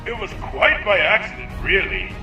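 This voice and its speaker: A man's voice: high voice, lively intonation, normal speed. A man playing a sly, cagey schemer in a comic dub. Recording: echoey, clear music underneath.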